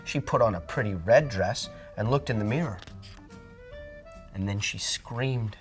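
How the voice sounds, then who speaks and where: A man reading a children's storybook aloud in an expressive, dramatic way. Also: lowish voice, a little slow, soft background music. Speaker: storybook reader. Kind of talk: children's read-aloud narration.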